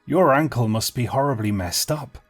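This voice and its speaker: A man voicing a tipsy grown man wandering woods at night, talking gruffly to himself in a storybook. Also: medium pitch, animated, moderate pace, faint music behind, natural voice. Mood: startled.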